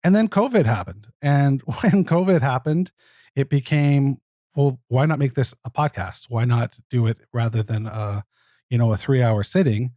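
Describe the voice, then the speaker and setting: A man speaking as a podcast guest, explaining his project casually and in a thoughtful, measured way. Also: mid-range voice, dark tone, no room sound, studio quality. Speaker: podcast guest, producer and narrator. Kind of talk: casual interview answers.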